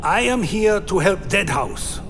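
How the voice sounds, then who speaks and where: A man playing an anxious vampire who recounts his own dark tale in a dramatic radio play. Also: high-pitched, sing-song, unhurried, soft background music, full character voice. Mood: anxious, insistent. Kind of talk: first-person dramatic narration, radio play.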